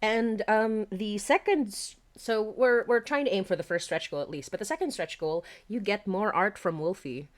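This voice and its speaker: A woman hosting a casual livestream chat, excitable and giggly, often repeating phrases for emphasis. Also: mid-range voice, moderate pace, hardly any pauses. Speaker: podcast creator and stream host.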